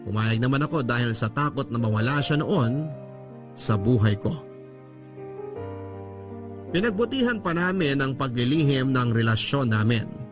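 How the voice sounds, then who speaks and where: A man's voice: medium pitch, expressive, normal speed, long pauses. Filipino man narrating over music. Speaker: radio drama narrator. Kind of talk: first-person dramatic story narration. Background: background music.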